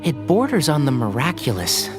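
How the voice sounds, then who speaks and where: An actor playing a calm, thoughtful young traveling companion in a video game. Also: male voice, mid-range voice, normal speed. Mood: musing. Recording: long reverb under game music.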